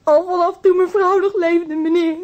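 An actress playing a distraught, timid and deferential housekeeper in a Dutch radio drama, speaking haltingly. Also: female voice, extremely high pitch, steady tone. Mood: nervous, polite.

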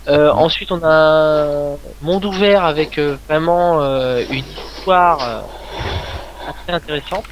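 A man's voice: fairly high pitch, unhurried, lo-fi sound, background noise. A French man hosting a casual fan livestream chat, talking with frequent hesitations and fillers. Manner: hesitant, many "euh" fillers. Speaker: podcast host.

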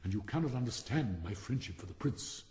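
A man's voice: medium pitch, animated, a little fast, a little mellow, natural voice. A man voicing a pompous, self-pitying firework rocket in a storybook, haughty and melodramatic, with a Received Pronunciation accent. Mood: indignant. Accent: English Received Pronunciation. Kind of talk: theatrical storybook character reading.

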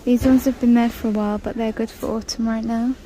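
Female vlogger, flat-ish delivery, reading prices aloud while filming in a store. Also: on the high side, fairly quick, a little hiss or hum, rough recording. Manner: chatty, reads out prices. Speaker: shopping vlogger. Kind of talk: casual shop-with-me narration. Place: large indoor discount store. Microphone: handheld phone camera.

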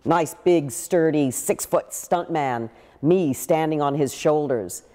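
An older actress telling stories in a seated interview, with a deep, big voice and lively gestures. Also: female voice, slow pace, big-room sound. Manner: expressive, gestures a lot while talking. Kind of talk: animated interview storytelling. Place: living room with a pool table, indoors.

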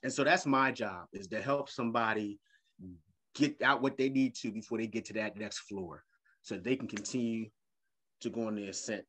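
Bright-voiced man on a video call. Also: on the low side. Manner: animated, playful, repeats words, acts out quick voices. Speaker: guest. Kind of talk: casual video-call interview. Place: home room with leather couch.

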